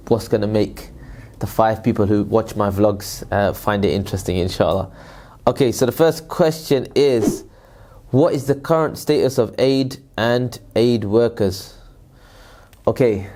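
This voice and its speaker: A man's voice: on the low side, darkish tone. A man who works in aid, answering viewers' questions in a casual vlog, with a loose, rambling delivery full of fillers and religious phrases. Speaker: aid worker and vlogger.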